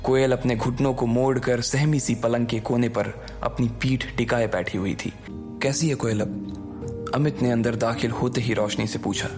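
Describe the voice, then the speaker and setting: Guy narrating a dramatic audio story, medium pitch, music playing behind. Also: fairly even intonation, moderate pace, hardly any pauses. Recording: background music under the voice.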